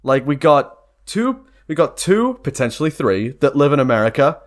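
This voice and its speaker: A man's voice: on the high side, big pitch swings, moderate pace. Earnest young man, casual rambling vlog talk. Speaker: video creator.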